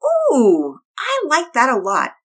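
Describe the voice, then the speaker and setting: A woman's voice: high-pitched, huge pitch swings, slow, pitched above the performer's own voice, full character voice. A woman voicing an eager, gushing little girl in a read-aloud story.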